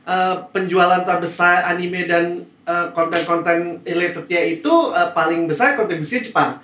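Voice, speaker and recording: A man's voice: sits high, fairly even intonation, moderate pace, bright, forceful tone. A man on a podcast panel, chatting casually and explaining things to the group. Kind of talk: casual group chat about anime. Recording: roomy echo, clear background noise.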